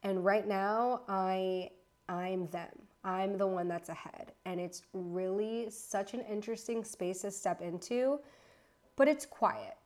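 A woman in her thirties from the United States talks casually into her podcast mic at home. She rambles, doubles back and corrects herself, with a slightly nervous edge. Her voice sits at an ordinary middle pitch. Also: slow, a little mellow. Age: 30s. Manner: chatty and rambling, with restarts and self-corrections. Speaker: podcast host. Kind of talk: casual solo podcast chat. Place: her apartment, indoors.

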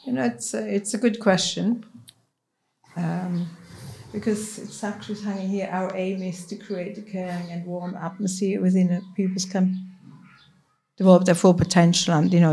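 Middle-aged Swiss-born head teacher, woman, Swiss German native; low, husky-gravelly voice, easygoing talk. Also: fairly even intonation, normal speed, rough recording. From Switzerland. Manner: chatty, restarts, soft laughs. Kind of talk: casual interview chat.

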